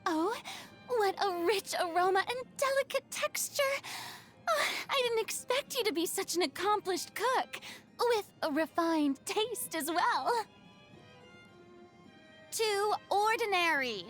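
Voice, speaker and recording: High-pitched theatrical young diva. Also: female voice, expressive, takes it slowly, long pauses, a bit bright, soft background music, exaggerated voice. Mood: haughty, critical. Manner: theatrical, refined, haughty diction with dramatic swings. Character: theatrical young woman, proud, dramatic former stage idol, secretly wistful. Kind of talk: video game character voice lines.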